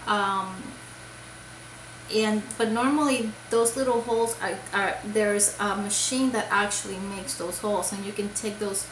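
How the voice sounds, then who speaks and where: Woman, crochet vlogger, casual rambling talk, lots of ums, mid pitch, roomy echo. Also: steady tone, unhurried, a little hiss or hum. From Puerto Rico. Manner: rambling, many fillers and restarts. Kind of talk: casual show-and-tell chat.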